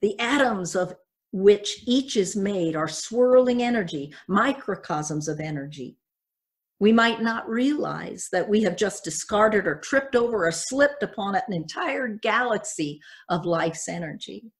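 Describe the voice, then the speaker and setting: An older woman pastor giving a measured, reflective sermon, reading from notes at a church pulpit. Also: medium pitch, expressive.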